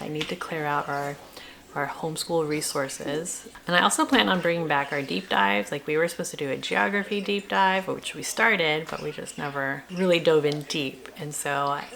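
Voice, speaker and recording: A middle-aged woman chats casually and reflectively for a vlog, halting as she thinks aloud in an informal way. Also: deep voice, creaky, a bit bright, slight background noise. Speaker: homeschooling parent and video blogger.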